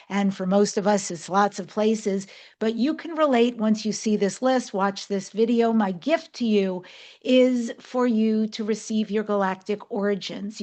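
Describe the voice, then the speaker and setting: Woman podcast host, kinda high voice, talks right at you, punches her words. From New York, United States. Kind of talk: podcast hosting, announcements and interviewing.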